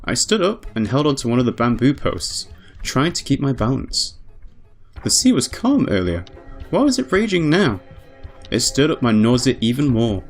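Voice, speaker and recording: A man's voice: mid-range voice, sing-song, normal speed. A man voicing a proud young witch who narrates her tale in a grave, formal, ornate way. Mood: tense narration. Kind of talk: dramatic visual novel narration. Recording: music underneath.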